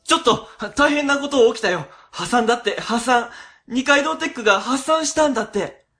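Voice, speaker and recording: An actor voices a worried section chief in a radio drama. The delivery is conciliatory and hesitant, with lively swings in pitch. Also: normal speed, faint music behind. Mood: alarmed. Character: anxious, placating government section chief. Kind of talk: web radio drama acting.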